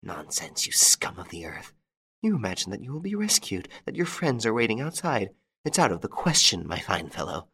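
A man voicing a half-demented, vengeful older widow who shrieks high in panic and hisses in harsh whispers. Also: very animated, moderate pace, a bit bright, husky, exaggerated voice. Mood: hissing. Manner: shrill, stammering, then harsh whisper.

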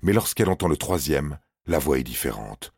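A man's voice: rumbling low, sing-song, fairly quick, gravelly, darkish tone, slightly rough, no room sound, studio quality. A man narrating scripted paranormal stories in a grave, suspenseful, dramatic manner. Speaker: narrator of a paranormal true-story podcast.

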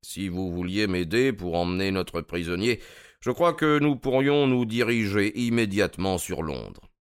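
Un homme qui joue, pour un livre audio, un détective anglais d'âge mûr, suave, courtois et moqueur. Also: male voice, sits low, lively intonation, clean tone, dry recording, studio quality. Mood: politely triumphant. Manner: amused, courteous, drawn-out mocking delivery. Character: suave, wry older English detective, gloating politely.

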